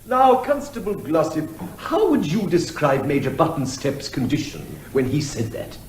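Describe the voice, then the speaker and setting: Man as high-pitched, fussy defence barrister. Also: sing-song, normal speed. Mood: probing, polite. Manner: formal, hesitant, stammering when challenged. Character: polite, fussy male defence barrister, easily flustered. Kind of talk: courtroom radio comedy drama. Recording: echoey room with faint noise.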